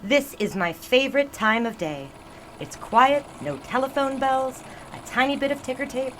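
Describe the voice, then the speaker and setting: An actress playing a reflective newspaper publisher's daughter in an audio drama, talking in a wistful, gently teasing way. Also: female voice, high-pitched, moderate pace, slight background noise. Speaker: narrator and newspaper woman.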